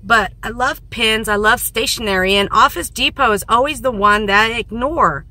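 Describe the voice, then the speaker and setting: A woman in her thirties with a hoarse, fading voice, chatting casually and rambling in a vlog from a parked car into a phone held at arm's length. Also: a little high, normal speed, sharp tone. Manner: rambling, self-mocking, laughs often. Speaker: vlogger.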